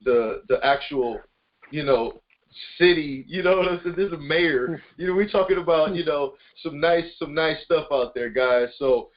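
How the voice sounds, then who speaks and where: Muffled, higher-voiced man hosting a call. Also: normal speed. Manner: informal hype, addressing listeners directly. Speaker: conference call host. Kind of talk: motivational casual group call.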